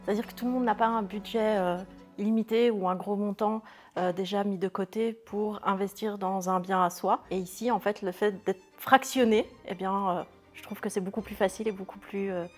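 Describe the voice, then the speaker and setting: A woman's voice: medium pitch, fairly even intonation, moderate pace, faint music behind. A woman giving an investor testimonial in an interview, with many hesitations and fillers.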